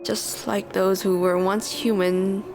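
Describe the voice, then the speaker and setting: Slow-paced woman streaming a game. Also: mid-range voice, little pitch movement. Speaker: streamer playing a story game. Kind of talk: playthrough reactions and reading game text aloud. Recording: loud game music behind.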